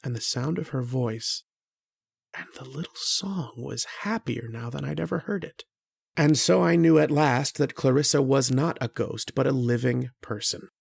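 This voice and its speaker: An actor playing an earnest, kindly struggling writer who narrates an old-time radio horror drama in a reflective, hesitant way. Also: male voice, medium pitch, moderate pace, creaky, husky, dry recording, studio quality. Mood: pensive, hushed.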